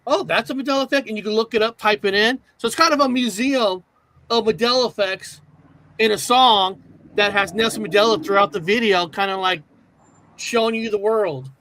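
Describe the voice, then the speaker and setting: Bright high-voiced man, rambling host. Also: brisk, poor audio. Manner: rambling, repeats filler words. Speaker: co-host of a paranormal talk show. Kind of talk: casual live-stream chat.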